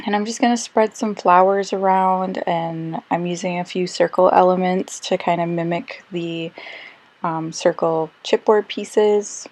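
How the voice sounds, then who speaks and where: A woman who is slightly hoarse and losing her voice, chatting casually through a crafting voice-over with lots of ums and little self-corrections. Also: fairly low pitch, fairly even intonation, unhurried, darkish tone. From Australia. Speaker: scrapbooking crafter. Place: quiet room, recorded voice-over.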